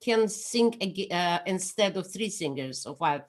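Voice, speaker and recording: Woman chorister, Russian native speaker, slow and hesitant, on a video call. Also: fairly low pitch, animated. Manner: halting, searches for words with fillers. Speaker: choir member asking a question.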